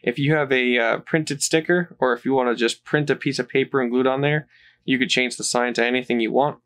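A man's voice: mid-range voice, fairly quick. A man giving casual, rambling commentary while unboxing a toy playset, off camera. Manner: relaxed, rambling, with fillers.

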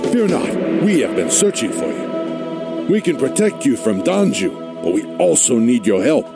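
Resolute, lively-voiced soldier leader. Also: male voice, mid-range voice, measured pace, vocal fry. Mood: concerned. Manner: earnest, declarative, commanding. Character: resolute, earnest male soldier leading his troops. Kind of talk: video game cutscene acting. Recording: loud background music.

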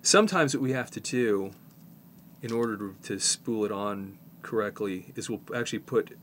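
A man in his fifties with a fairly low voice and a slight head cold talks through a hands-on job. He is informal and halting, explaining as he works, with noticeable background noise around him. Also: fairly bright tone. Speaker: presenter, tape repair technician. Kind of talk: casual hands-on tutorial.

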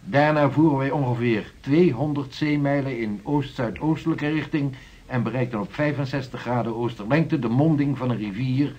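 A man plays a sixteenth-century Dutch seafarer in a radio drama. He reports in formal, archaic language, deliberate and patient, with a medium-pitched male voice. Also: a little mellow, muffled recording, lightly acted. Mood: measured, reporting.

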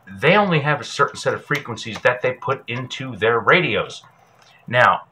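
A middle-aged man presenting a casual how-to demonstration, speaking haltingly and pausing mid-sentence. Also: fairly low pitch, sharp tone, slight background noise, poor audio. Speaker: host, presenter.

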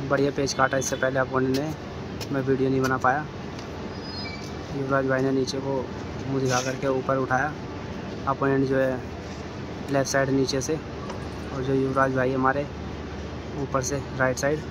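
A man's voice: mid-range voice, normal speed, long pauses. A young man giving live commentary on a kite fight from a city rooftop, filming on his phone. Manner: steady running commentary, flat delivery. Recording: outdoor phone recording with street noise.

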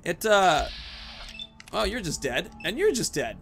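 A man's voice: high-pitched, sing-song, unhurried, creaky, fairly bright tone, faint music behind. A man in his thirties giving casual, chatty let's-play commentary, thinking aloud as he plays. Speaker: gaming video host. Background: video game sounds.

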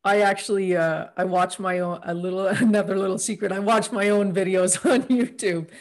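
A woman's voice: medium pitch, steady tone, normal speed. A middle-aged woman talks casually over a video call from her home, speaking into a desk mic. She asks teasing questions and giggles now and then. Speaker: accountability partner, interviewer. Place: home room.

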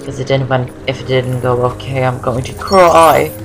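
Very low-voiced young woman gaming. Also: steady tone, normal speed, noisy background. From Norway. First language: Norwegian. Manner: chatty, rambling, repeats phrases. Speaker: gaming video host. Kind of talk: casual game walkthrough commentary. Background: game music.

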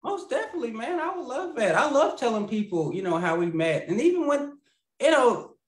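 A man in his thirties chatting as a podcast guest over a video call, rambling and laughing, often trailing off quietly. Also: extremely high pitch, very animated, a little fast, roomy sound. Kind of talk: casual interview chat over video call. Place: indoor home room with lamp. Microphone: video call, webcam.

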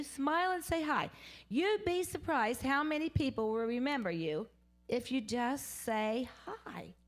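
A woman giving a children's sermon in a church, talking simply and asking the kids questions. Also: high voice. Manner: simple, coaxing, call-and-response questions. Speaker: children's message leader. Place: church sanctuary in front of seated children.

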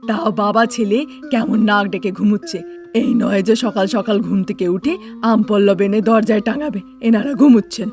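A woman's voice: mid-range voice, normal speed, a little mellow, faint music behind, close to an everyday voice. A woman playing a bossy, nagging elderly mother-in-law and grandmother in a cartoon, with a scolding, insistent manner. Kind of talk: Bengali cartoon dialogue.

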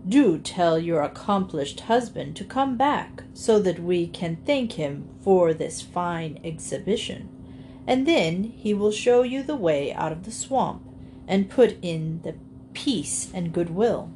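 A woman's voice: fairly low pitch, animated, takes it slowly, dark tone, a little hiss or hum. A woman voicing an ancient, sly male alligator who speaks with false politeness. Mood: oily.

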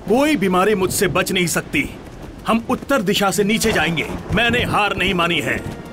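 High, animated bear healer. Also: male voice, normal speed, over loud music. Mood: confident. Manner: explanatory, reassuring. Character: big, earnest, self-assured bear healer. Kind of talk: animated cartoon dub acting.

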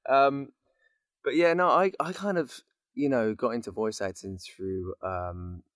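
A man's voice: medium pitch, fairly even intonation, a little slow, dry recording, clean studio sound. A man in his late twenties with a British accent, chatting casually as a podcast guest and telling rambling, joking stories. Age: late 20s. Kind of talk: casual chat.

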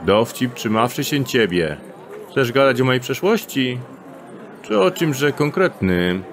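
A cocky thief with an odd old manner of speech. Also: male voice, medium pitch, very animated, unhurried. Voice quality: odd old-fashioned phrasing. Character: cocky male thief with an odd archaic way of speaking. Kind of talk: audio drama acting.

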